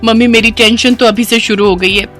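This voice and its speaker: A woman voicing an anxious, complaining young bride-to-be in an animated cartoon story. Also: fairly high pitch, brisk, soft background music, natural voice. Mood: worried, fretful. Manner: fretful, complaining.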